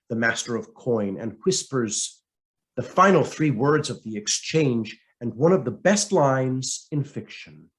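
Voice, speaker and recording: A man reading a scripted essay aloud as narration, with a theatrical, emphatic delivery and deliberate pauses. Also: mid-range voice. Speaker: reader of a political essay.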